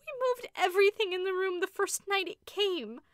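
A woman's voice: very high voice, normal speed, a bit bright, no room sound, studio quality, pitched above the performer's own voice. A woman voicing a terrified woman who cries out in wild, wailing fear. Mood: frantic horror.

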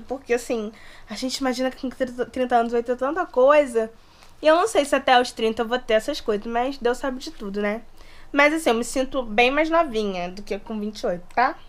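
Chatty high-voiced young Brazilian woman. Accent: Brazilian Portuguese, mix of Rio, São Paulo and Minas. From Resende, Rio de Janeiro state, Brazil. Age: 20s. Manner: chatty, playful, animated hand gestures. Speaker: YouTuber. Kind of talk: casual chat to camera. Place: small bedroom indoors. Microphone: camera close in front.

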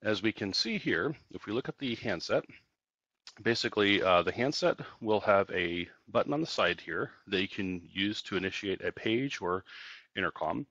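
A man's voice: fairly low pitch, lively intonation, moderate pace, sharp tone, no room sound, muffled recording. A man narrating a casual product tutorial as a company trainer, explaining things step by step.

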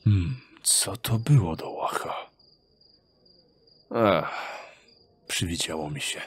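A man playing a wary thief who mutters to himself in a hushed voice in an audio drama. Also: low voice, takes it slowly, husky, voice pushed down, exaggerated voice. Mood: puzzled, muttering. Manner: hushed, muttering under his breath.

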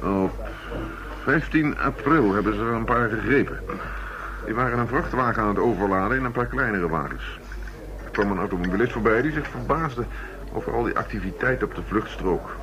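An actor playing a steady, businesslike police detective in a radio drama, measured and matter-of-fact. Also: male voice, on the low side, a crowd in the background, natural voice. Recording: muffled, noisy old radio recording.

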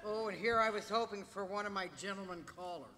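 A middle-aged woman playing a sharp-tongued, crabby old female android at a live table read. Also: mid-range voice, steady tone, fairly quick, natural voice. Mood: sardonic. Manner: sardonic, brash. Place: large convention hall stage. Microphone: table microphone. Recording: noisy echoey convention hall.

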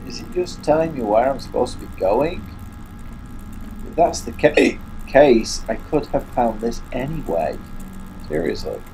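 A man's voice: medium pitch, sing-song, unhurried, darkish tone, slight background noise, low-quality recording. An English man commentating a horror game, muttering and grumbling to himself, with a stuffy nose and hiccups. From England. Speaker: gaming YouTuber. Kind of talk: casual let's play commentary.

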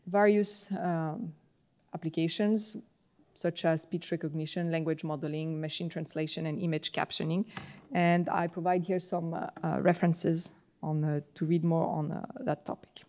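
A woman's voice: lowish voice, steady tone, normal speed, darkish tone. French-accented woman giving a technical lecture. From France. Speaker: conference tutorial presenter. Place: large conference hall, on stage at a podium.

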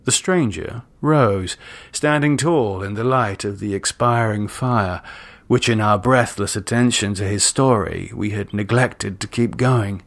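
A man's voice: lowish voice, unhurried. A man narrating a scripted story as a dramatic audiobook reader.

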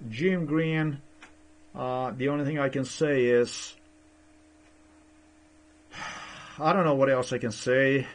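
A man's voice: mid-range voice, a little mellow. A middle-aged man, not a native English speaker, rambling hesitantly with many fillers and restarts, recorded on a desk webcam in a small room. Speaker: vlogger. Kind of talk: rambling screen-share commentary.

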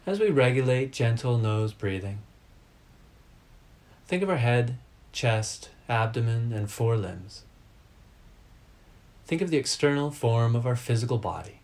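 A man leading a guided meditation as a teacher, giving calm, deliberate instructions in short, measured phrases. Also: mid-range voice.

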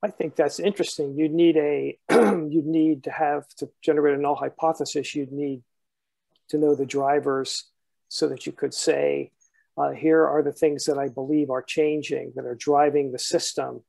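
A man moderating an academic discussion on a video call in a measured, questioning way. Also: a little high, steady tone, gravelly, warm tone, dry recording. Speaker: session moderator.

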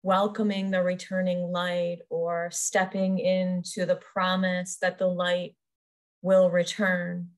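A woman leading a guided meditation as a yoga nidra teacher, soothing and unhurried, pausing between short phrases. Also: on the low side, completely flat delivery.

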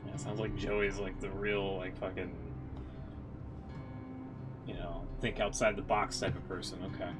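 Low-voiced sardonic man over music. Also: level tone, a little slow, long pauses. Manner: sardonic, sweary. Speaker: game livestreamer. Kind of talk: casual reaction commentary.

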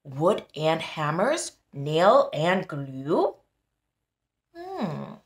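A woman in her thirties reading a picture book aloud to young children as a tutor, expressive and deliberate, often prompting with questions, recorded in a small room. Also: sits low, roomy sound. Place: small plain-walled room indoors.

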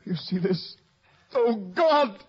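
A passionate young nobleman in grief, husky and fairly high for a man. It is classical fifties radio drama with muffled sound. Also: animated, takes it slowly, exaggerated voice. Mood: anguished, furious. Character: hot-headed grieving young nobleman bent on revenge. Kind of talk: 1950s radio Shakespeare acting. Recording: dull old radio recording.

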